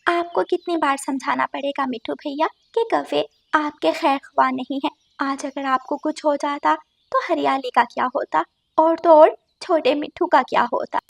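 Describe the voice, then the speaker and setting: Woman as a high-voiced, sensible cartoon sparrow. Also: fairly even intonation, moderate pace, gravelly. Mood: scolding, concerned. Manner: reproachful, sensible. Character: wise, protective, scolding female sparrow. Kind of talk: Hindi children's cartoon dialogue.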